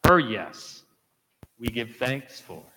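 A man preaching a teaching homily at Mass, explaining slowly and correcting himself as he goes. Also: medium pitch, sing-song, bright, forceful tone, long reverb tail, a little hiss or hum, rough recording. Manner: slow, explanatory, self-correcting with light laughs. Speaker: priest. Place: church.

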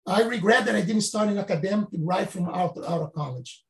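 A Brazilian-born professor in his late fifties speaks in a high, gravelly voice. His phrasing is halting and non-native, full of restarts. The room around him is echoey. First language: Portuguese. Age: 50s. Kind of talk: reflective interview answers.

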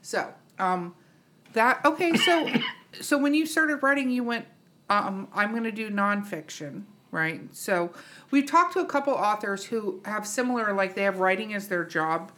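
A woman's voice: medium pitch, expressive, measured pace, sharp tone. A woman hosting a podcast interview in a casual, informal and teasing way. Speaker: podcast host.

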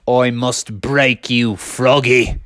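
A man's voice: medium pitch, fairly even intonation, slow, a bit bright, voice pushed down. A man voicing a big, boastful, taunting kangaroo boxer in a children's story. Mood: menacing. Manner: taunting, exclamatory.

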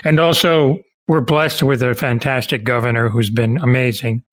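Male podcast host, average pitch, casual interviewing style, agreeable and complimentary. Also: fairly even intonation, moderate pace, rough recording.

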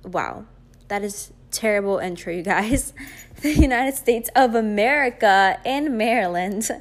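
A woman's voice: mid-range voice, lively intonation, measured pace. A woman in her twenties from Greece, hosting a podcast and chatting casually on her own, with lots of fillers and rambling asides. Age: 20s. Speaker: podcast host. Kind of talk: casual solo podcast chat.

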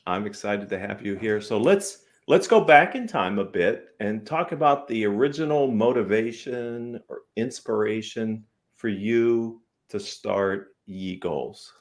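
A man with a fairly low voice hosts a business talk show. He speaks in an upbeat, conversational way, interviewing his guest. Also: expressive, measured pace.

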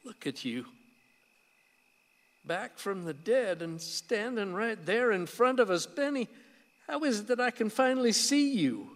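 A folksy old grandfather in a radio play, stubborn yet tender. His voice sits a little high and rings with long reverb, and he talks in plain, homespun phrases. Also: very animated, measured pace, fairly bright tone, heavily acted. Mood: tender wonder.

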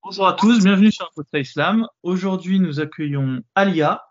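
A man's voice: high voice, moderate pace, dull audio. A man hosting a podcast interview, talking hesitantly with many fillers and restarts. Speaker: podcast host. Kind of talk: casual interview.

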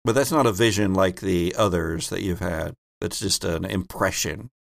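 A man's voice: low-pitched, normal speed, gravelly, dry recording, studio quality. A man narrating an improvised tabletop role-playing game as its game master and host.

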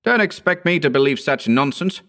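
A man voicing a vain, scornful, excitable male horror writer in a pompous, declamatory audiobook style. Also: mid-range voice, fairly quick, voice pushed up. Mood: disgusted. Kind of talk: dramatic audiobook character reading.

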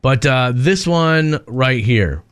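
A man's voice: medium pitch, expressive, slow, heavy background noise. A man hosting a talk show, interviewing his guests in a casual, conversational style. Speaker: podcast and radio co-host.

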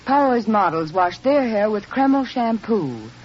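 A woman's voice: mid-range voice, expressive, a little slow, a little mellow. A woman reading a scripted 1940s radio advertisement as a spokeswoman. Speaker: commercial spokeswoman. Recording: muffled, noisy old radio recording.

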